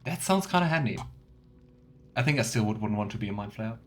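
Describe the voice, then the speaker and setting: A man's voice: fairly low pitch, animated, fairly quick, slight background noise. Chatty man doing casual game commentary. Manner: chatty, playful, rambling asides. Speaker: let's player.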